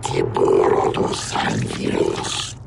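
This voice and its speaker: Rumbling, gravelly alien creature. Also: male voice, fairly even intonation, takes it slowly, noisy background, exaggerated voice. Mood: growling, deliberate. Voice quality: guttural, gravelly. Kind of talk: video game dialogue in an invented alien tongue.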